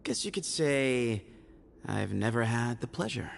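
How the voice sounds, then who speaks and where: A man's voice: medium pitch, animated, a little slow, creaky, a little hiss or hum. An actor playing an easygoing young desert man in a video game, casual, teasing and evasive. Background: game music.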